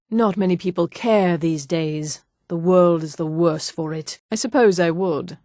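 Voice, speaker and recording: A woman voicing a haughty, refined high elf townswoman in a video game, with precise, polished, condescending delivery. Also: darkish tone, dry recording, clean studio sound, close to an everyday voice. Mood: snide, condescending. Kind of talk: scripted game dialogue.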